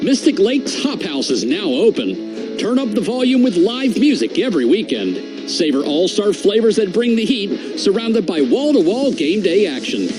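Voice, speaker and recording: High, animated male radio ad announcer. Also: normal speed, low-quality recording. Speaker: commercial announcer. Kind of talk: scripted radio ad read. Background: music with guitar.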